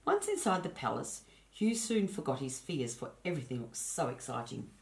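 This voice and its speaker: A woman storyteller reading a children's story aloud, expressive and chatty with her young listeners. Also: rumbling low, moderate pace. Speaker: storyteller and host.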